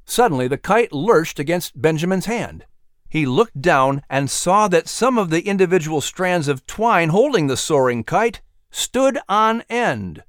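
A man's voice: fairly high pitch, big pitch swings, a little slow, dry recording, clean studio sound. A man narrating an audiobook story in a dramatic, scripted style.

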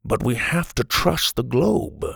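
A man voicing a thoughtful older grandfather who speaks in a measured way. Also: medium pitch, lively intonation, gravelly, a bit bright, slightly rough, no echo, studio quality, full character voice.

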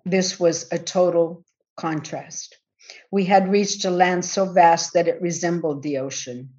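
Low-voiced woman reading a talk. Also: dark tone. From Azores, raised in California. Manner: measured, reflective, bilingual delivery. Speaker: conference panel speaker. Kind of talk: reading a prepared paper. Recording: dull lo-fi audio.

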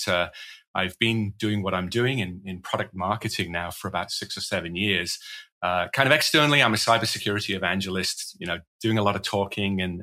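A man's voice: low voice, pushed and bright. A middle-aged man from Wales with a Welsh accent, chatting as a guest on a podcast in a chatty, self-deprecating way. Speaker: guest, cybersecurity evangelist. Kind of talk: casual podcast conversation.

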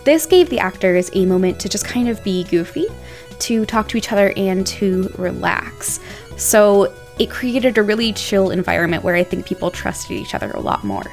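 A woman co-hosting a podcast about making audio drama, talking in a playful, informal, slangy way. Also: medium pitch. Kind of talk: casual podcast narration.

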